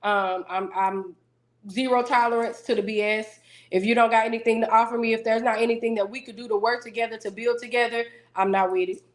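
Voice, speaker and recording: Woman guest, deeper-voiced, steady and businesslike in casual interview talk. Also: brisk. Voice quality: deeper singing voice. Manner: self-assured, businesslike, steady. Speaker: singer-songwriter guest.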